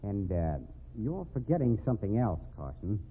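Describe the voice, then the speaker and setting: A man's voice: lowish voice, very animated, normal speed, a little hiss or hum. An actor playing a shrewd, hard-boiled man with a wry, taunting tone in an old-time radio crime drama. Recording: tinny band-limited old radio recording.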